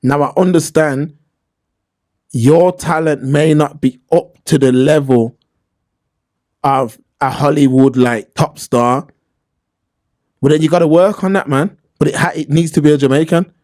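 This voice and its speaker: A man's voice: fairly high pitch, fairly even intonation, measured pace, pauses often, a little mellow. A man with a London accent giving opinions in casual podcast talk, slangy and emphatic, repeating points for stress. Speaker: podcast host.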